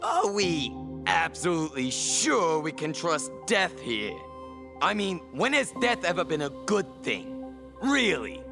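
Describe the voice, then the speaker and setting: A man's voice: a little high, sing-song, a little slow, vocal fry, music in the background, full character voice. A man playing a sarcastic, reluctant, cowardly sidekick in a comic audio drama, grumbling and whiny. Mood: doubtful.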